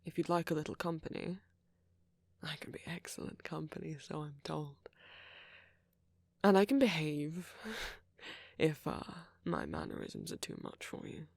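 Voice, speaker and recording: A man playing a friendly, flirty vampire in an intimate ASMR roleplay, courteous and gently teasing, with a husky, murmuring voice close to the microphone. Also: fairly high pitch, sing-song, unhurried, long pauses, dry recording, exaggerated voice. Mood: flirty, reassuring. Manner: gently teasing, polite old-fashioned phrasing, soft chuckles. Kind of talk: ASMR roleplay, intimate one-sided dialogue to the listener.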